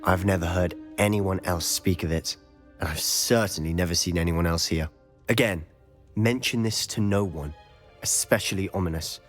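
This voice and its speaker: A man's voice: low-pitched, moderate pace, soft background music, lightly acted. A young man playing a confident, secretive student in a game cutscene, eager and conspiratorial. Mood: confiding.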